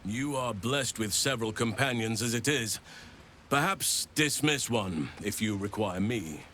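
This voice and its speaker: An actor playing an earnest, devoted druid in a fantasy game, speaking in a formal, measured, solemn way. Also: male voice, lowish voice, animated, bright, forceful tone, a little hiss or hum. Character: earnest devoted druid man, grave and gentle, pledging loyalty. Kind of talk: fantasy video game dialogue acting.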